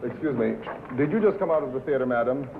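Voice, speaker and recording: Tinny-sounding, brisk radio reporter. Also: male voice, mid-range voice, expressive, gravelly. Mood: polite, businesslike. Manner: clipped, polite, persistent questioning. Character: dry, brisk, businesslike male radio reporter. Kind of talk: 1950s film acting, interviewing. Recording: tinny, noisy 1950s film soundtrack.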